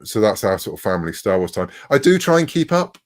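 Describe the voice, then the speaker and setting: A man's voice: on the low side, very animated, quick pace. A middle-aged man talking casually as an interview guest, chatty and often interrupting himself.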